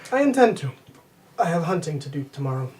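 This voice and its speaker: Low-voiced woman running a tabletop game, distant mic. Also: big pitch swings, a little fast, a little mellow. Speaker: game master. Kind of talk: improvised tabletop game narration. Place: room at a live-streamed group game. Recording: distant mic, faint background noise.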